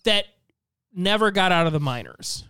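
A man's voice: high voice, lively intonation, moderate pace, sharp tone, smooth, no echo, clean studio sound. A man co-hosting a podcast, speaking in casual panel chat. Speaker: podcast co-host.

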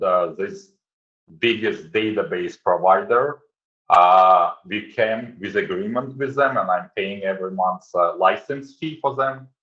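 Deep-voiced Ukrainian-accented man, lo-fi. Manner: earnest, many "uh" pauses, non-native grammar. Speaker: guest, website founder. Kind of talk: casual interview answers.

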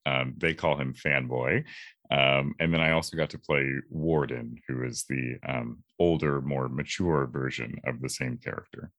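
Very deep, slow-talking young Californian man. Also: sharp tone, no echo. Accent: American, Californian. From California, USA. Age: 20s. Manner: halting, thoughtful. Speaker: voice actor, interview guest. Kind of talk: reflective interview talk.

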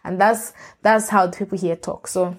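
A woman's voice: mid-range voice, steady tone, normal speed. A woman, hosting a solo podcast in a rambling, candid way that often corrects itself. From Nigeria. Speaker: podcast host. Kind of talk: casual solo podcast monologue.

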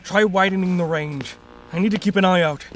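A man playing a wary, protective, analytical rebel in a sci-fi radio drama, speaking tersely and deliberately. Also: high-pitched, background noise, natural voice. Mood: wary, commanding. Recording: music behind the voice.

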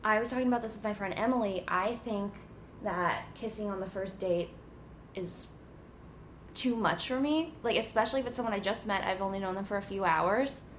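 A woman's voice: medium pitch, fairly quick, slight background noise. A young woman in her twenties hosting a casual podcast, giggly and teasing, close to the mic. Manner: bubbly, giggly, teasing banter. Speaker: podcast host. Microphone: close podcast mic, loud.

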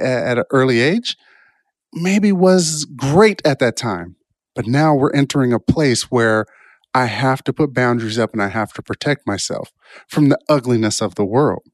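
A man's voice: mid-range voice, no echo. Man giving a reflective talk, dry sound. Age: adult, past college years. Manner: rambling, reflective, often asks "right?". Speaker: motivational speaker. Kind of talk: informal self-help talk with personal stories.

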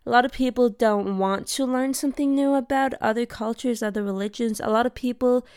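A woman's voice: fairly high pitch, fairly quick, darkish tone, clean tone, no echo, studio quality. A young woman from Denmark hosting her own episode, talking in an earnest, heartfelt way and searching for her words. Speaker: podcast host. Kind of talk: personal monologue.

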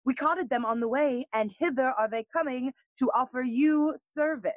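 A deferential, amused young courtier, played by a woman in a Shakespearean audio play. The voice is fairly high, on a dry and slightly dull recording. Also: normal speed, no room sound, close to an everyday voice. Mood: amused, polite. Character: deferential, amused young courtier, old school friend of the prince.

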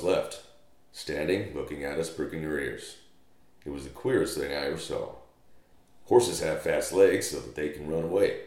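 A man's voice: extremely low pitch, darkish tone. A man voicing a rugged, reassuring cowboy of the Old West who talks in folksy phrases, in a storybook reading. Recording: roomy, echoey room sound.

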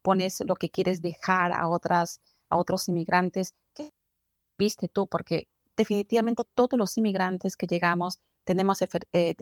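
A woman's voice: on the low side, fairly even intonation, moderate pace, no echo, clean studio sound. A woman hosting an interview podcast, asking long, winding questions with emphasis. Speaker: podcast host.

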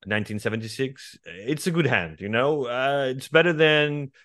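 A man in his forties from Portugal with a Portuguese accent, speaking as a guest writer in an interview, thoughtful and hesitant, often pausing and restarting. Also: medium pitch, fairly bright tone, dry recording, studio quality. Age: 40s. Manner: reflective, hesitant with many fillers and restarts. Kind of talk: conversational interview.

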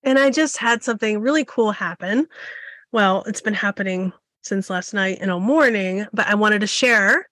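A woman's voice: mid-range voice, moderate pace, a bit bright, no room sound, clean studio sound. A woman in her forties hosting her own podcast as a photography coach, speaking in a bold, emphatic way with a slightly scratchy voice, often repeating phrases for effect. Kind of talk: solo podcast monologue.

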